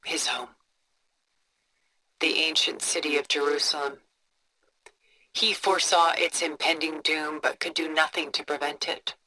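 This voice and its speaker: An actress playing a refined, cultured, coolly composed older woman in a video game, speaking in a poised, deliberate, articulate way. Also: low voice, fairly even intonation, sharp tone, a little hiss or hum, low-quality recording. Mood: reflective, composed. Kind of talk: scripted video game dialogue, art commentary.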